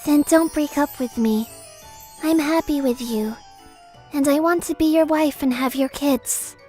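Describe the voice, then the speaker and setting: A woman acts out a socially awkward young fiancée in a manga dub. The voice sits high, yet she is understated and halting, shyly sincere. Gentle music runs underneath. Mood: quietly sincere. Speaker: voice actress.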